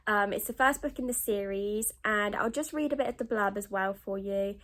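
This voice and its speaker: A woman's voice: mid-range voice, moderate pace, fairly bright tone. A British woman chatting casually in a rambling book vlog, groggy and sniffly with a cold. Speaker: book vlogger.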